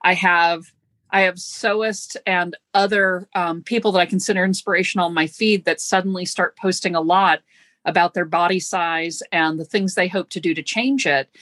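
Thoughtful woman host, halting and self-deprecating, slightly low pitch. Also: fairly even intonation, a bit bright, dry recording, studio quality. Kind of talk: conversational interview.

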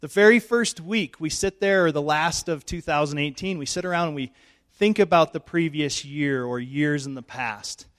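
A middle-aged male pastor, hoarse and losing his voice, giving a chatty, self-joking sermon in church on a handheld mic. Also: on the high side, normal speed, hardly any pauses. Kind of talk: informal sermon. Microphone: handheld microphone.

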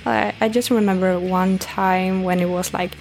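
A woman in her twenties from northern Sweden, speaking English with a Swedish accent in a relaxed, chatty interview. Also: medium pitch, moderate pace, faint music behind. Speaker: guest. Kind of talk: casual interview talk.

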